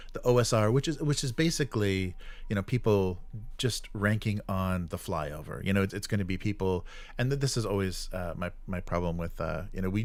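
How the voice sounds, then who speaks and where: A man hosting a casual livestream, giving opinionated commentary in a halting, rambling way. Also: sits low, dry recording. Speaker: live show host.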